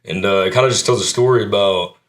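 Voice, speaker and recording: A man, a country singer answering interview questions in a relaxed, modest way. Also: quick pace. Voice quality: deep voice. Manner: laid-back, modest, lots of "you know" and "kinda".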